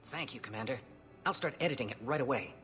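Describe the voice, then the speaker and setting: Game documentary maker: persuasive, eager, rapid, lively intonation. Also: male voice, medium pitch, in a large hall. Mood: hopeful, grateful. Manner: persuasive, earnest. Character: eager, pleading male documentary filmmaker. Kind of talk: video game acting.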